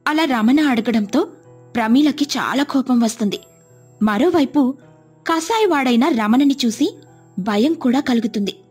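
A woman's voice: high-pitched, lively intonation, a little slow, long pauses, darkish tone, breathy edge, faint music behind. A woman storyteller reading a scripted moral tale as narration.

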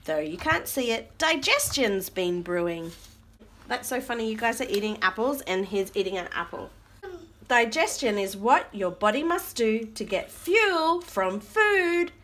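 Female storytime reader, high and animated, expressive delivery pitched at young kids, chatty questions between lines. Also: a little slow. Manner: expressive, child-directed, questioning and repeating. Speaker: adult reading a picture book aloud to children. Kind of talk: read-aloud storytime with chat to small children. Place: carpeted floor indoors at home. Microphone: camera looking down at the book.

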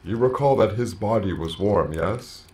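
An actor playing a grave alien colony that speaks through a man's body, solemn and measured, in an audio drama. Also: fairly low pitch, animated, a little mellow, close to an everyday voice. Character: ancient alien hive-mind plant colony speaking through a man, grave.

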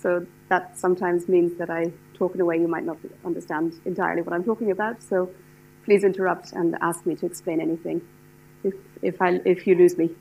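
A middle-aged woman from Ireland with an Irish accent, lecturing a class in a hall, talking fast and eagerly with many fillers and restarts. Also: mid-range voice, darkish tone. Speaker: lecturer, standards specialist. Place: lecture hall with seated audience.

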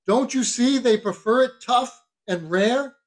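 A man voicing a blustering ship's mate who barks orders in a raised, loud, mocking manner. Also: very high voice, a little slow, pitched above the performer's own voice. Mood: bossy.

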